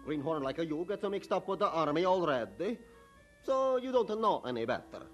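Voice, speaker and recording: Blunt italian construction boss, broken english, big swings in pitch, old radio drama with music behind. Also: male voice, big pitch swings, normal speed. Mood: gruffly sympathetic.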